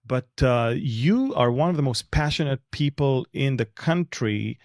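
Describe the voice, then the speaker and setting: A man's voice: medium pitch, a little slow, a little mellow, no echo, studio quality. A man with an Israeli accent hosting a podcast and interviewing a guest in a conversational style.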